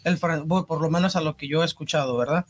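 A man's voice: fairly high pitch, fast. A man with a Mexican accent chatting casually and slangily as a podcast co-host, dropping in lots of filler words.

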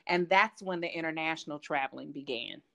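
A woman from Kansas City, a grandmother, chatting as a guest about her family travels in a relaxed storytelling way. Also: low-pitched, a little fast, gravelly, lo-fi sound. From Kansas City, Missouri. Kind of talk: casual interview chat.

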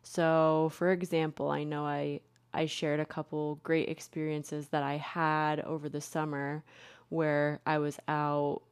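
A young woman talks through her own podcast in a low, unhurried voice. She's chatty and a little self-mocking, circling back with fillers and restarts. The sound is close and dry, with no room around it. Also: level tone, clear, resonant tone, no echo. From Connecticut. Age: young adult. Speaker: podcast host, therapist. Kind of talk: casual solo podcast talk.

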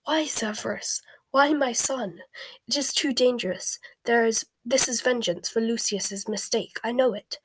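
A woman's voice: fairly high pitch, unhurried, no room sound, poor audio. Casting: female reader as anguished mother, tearful and begging, raised urgent delivery for a storybook. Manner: pleading, breathy gasps and sobs. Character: distraught pleading mother, desperate and tearful.

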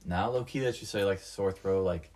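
A man's voice: low voice, moderate pace, darkish tone. A young man co-hosting a casual podcast, jokey and slangy, often cutting in. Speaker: podcast co-host. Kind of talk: casual chat.

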